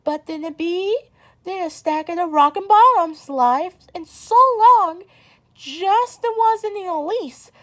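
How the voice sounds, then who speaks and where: A woman reading a children's story aloud as an amateur, haltingly and stumbling over words. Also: extremely high pitch, expressive, fairly bright tone. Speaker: storybook reader.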